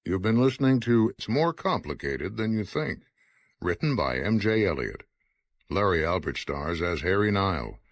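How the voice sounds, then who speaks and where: Radio drama announcer guy reading credits, lowish voice, dry studio sound. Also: sing-song, moderate pace, no echo.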